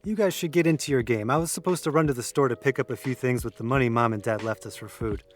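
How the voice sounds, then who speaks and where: A man's voice: medium pitch, fast talker, natural voice. An actor as an easygoing young man, music behind. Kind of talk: naturalistic audio drama dialogue.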